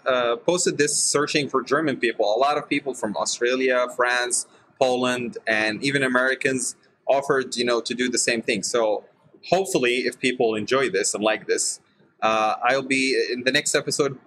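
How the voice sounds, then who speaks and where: A man hosting a casual group chat about role-playing, chatty and quick to laugh. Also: mid-range voice, a bit bright, low-quality recording. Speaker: podcast host.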